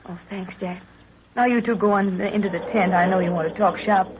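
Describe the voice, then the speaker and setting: An actress playing a spirited, plucky young daughter of an explorer in a 1930s radio drama. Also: female voice, mid-range voice, fairly even intonation, brisk, lightly acted. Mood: playful. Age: young adult. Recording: tinny, noisy 1930s radio recording.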